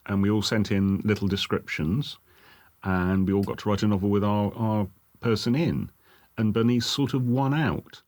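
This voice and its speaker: A man's voice: fairly low pitch, fairly even intonation, normal speed, darkish tone, no echo. A man, a writer, casually recalling past work in a documentary interview.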